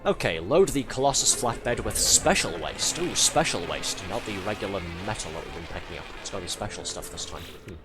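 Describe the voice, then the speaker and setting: A man's voice: on the low side, animated, a little fast, few pauses. A man with a head cold, streaming a game live, chatting and joking and reading on-screen text aloud as he plays. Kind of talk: casual live gameplay commentary. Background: loud game music.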